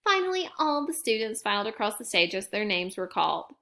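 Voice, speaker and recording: A woman's voice: mid-range voice, big pitch swings, brisk, pushed and bright. A woman reading a children's picture book aloud like a teacher to young children.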